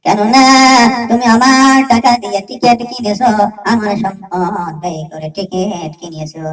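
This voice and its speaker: A woman's voice: mid-range voice, fairly even intonation, moderate pace, reverberant, recorded from a distance, natural voice. A woman storyteller voicing a stern, possessive caliph who scolds with repeated questions. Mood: angry scolding.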